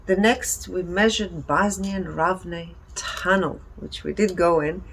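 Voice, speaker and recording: A woman speaks in a fairly low voice with a marked creak. She presents readings and reflections earnestly, in short emphatic phrases, over faint background ambience. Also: fairly even intonation, slow pace, a little hiss or hum, poor audio. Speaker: presenter reporting energy readings. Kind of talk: presentation reading out findings with personal commentary.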